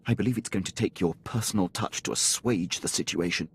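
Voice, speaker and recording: An actor playing a dutiful, formal military officer in a video game, deferential and precise, with clipped phrasing. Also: male voice, deep voice, fairly quick, breathy edge. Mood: restrained concern. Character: dutiful, formal male imperial military officer, loyal subordinate. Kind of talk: video game character acting.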